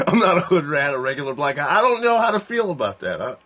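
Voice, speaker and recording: Rambling, laughing man in his forties. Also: fairly quick. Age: 40s. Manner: rambling, laughing, lively swings in pitch. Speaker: host of a livestream. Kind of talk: casual joking chat. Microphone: phone.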